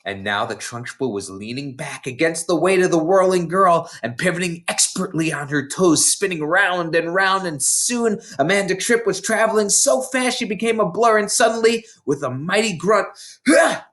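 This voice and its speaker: A reader giving an expressive, dramatic read-aloud narration of a children's novel. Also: mid-range voice, normal speed, hardly any pauses. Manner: dramatic, animated storytelling. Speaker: storybook reader.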